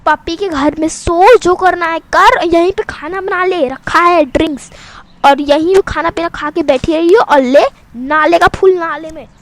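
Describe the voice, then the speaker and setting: Bossy older sister, ranting, shouting, very high pitch, woman voicing her. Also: animated, measured pace, hardly any pauses, no room sound. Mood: furious. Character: bossy older sister, hot-tempered girl.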